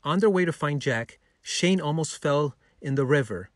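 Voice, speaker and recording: A man's voice: a little high, expressive, moderate pace, dry recording, studio quality. A man narrating a scripted bedtime story for children as a storyteller.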